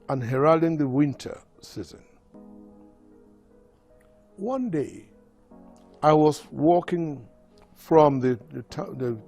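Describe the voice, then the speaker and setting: An older man with a Nigerian accent reading his memoir aloud in a measured storytelling manner. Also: medium pitch, vocal fry, a little mellow. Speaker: author reading from his autobiography.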